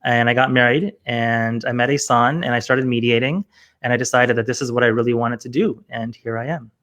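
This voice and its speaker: A man's voice: medium pitch, fairly even intonation, a little fast, fairly bright tone. A middle-aged man from Canada with a mid-range voice tells his story earnestly, now and then with a light joke. He talks over a video call in easy interview fashion. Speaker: mediator, interview guest. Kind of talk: casual interview answers.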